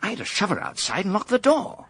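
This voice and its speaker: Flippant, swooping young English toff. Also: male voice, high voice, fast talker, slightly rough, heavily acted. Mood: indignant. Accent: upper-class English. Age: young adult. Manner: breezy, slangy, excitable. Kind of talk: period radio drama acting.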